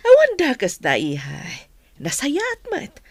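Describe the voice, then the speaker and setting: A woman's voice: sits high, wildly swooping pitch, extremely slow, no echo, exaggerated voice. A woman playing an approving, chatty mother with an Ilocano accent in a radio drama. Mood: cheerful, approving.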